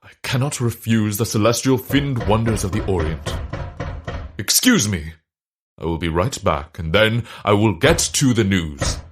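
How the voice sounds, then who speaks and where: A man playing a pompous, excitable fish-loving gentleman scientist in a comedic period audio drama. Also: on the low side, measured pace, breathy edge. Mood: grandiose. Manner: pompous, grandiose, exasperated.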